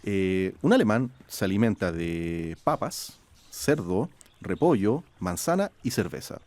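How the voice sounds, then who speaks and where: Lively chef telling stories. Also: male voice, measured pace. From Chile. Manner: lively storyteller, rises in pitch when quoting. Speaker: chef and food scientist. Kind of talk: conversational interview. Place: outdoor garden.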